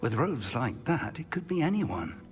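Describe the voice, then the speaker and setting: A thoughtful wizard mentor in a fantasy game who wonders aloud and offers help. His voice sits fairly high and moves in a lively way, with faint music beneath it. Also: measured pace. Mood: curious. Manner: thoughtful, inquisitive.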